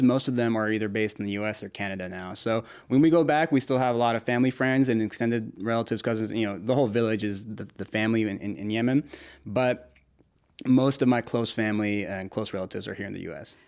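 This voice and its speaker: A man's voice: fairly low pitch, a little fast, darkish tone, clean tone. A young man from Nashville chatting as an interview guest, laughing often and restarting his sentences in a friendly, easygoing way. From Nashville, United States. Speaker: interview guest, food creator. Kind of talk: casual conversational interview.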